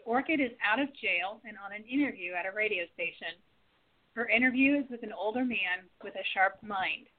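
A woman narrating an amateur radio play, announcing its scenes and the cast clearly. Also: medium pitch, normal speed. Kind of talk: scripted narration. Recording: tinny phone-like sound.

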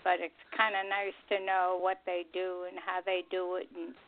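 A woman's voice: on the low side, completely flat delivery, moderate pace. An older woman chatting casually as a panelist on a radio show. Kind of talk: casual chat. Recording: narrow phone-like line.

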